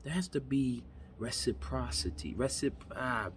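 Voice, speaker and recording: A man's voice: mid-range voice, a little slow, a little hiss or hum. A man of about thirty, from New York, giving an earnest, rambling motivational talk in his backyard. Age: 30s. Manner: earnest, rambling, repeats himself. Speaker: vlogger. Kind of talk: motivational monologue. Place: backyard outdoors.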